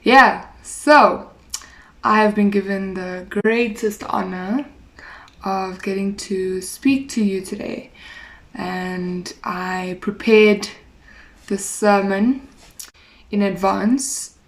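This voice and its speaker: Young woman sermon leader, unhurried, friendly and a bit tentative. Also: mid-range voice. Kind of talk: informal sermon introduction.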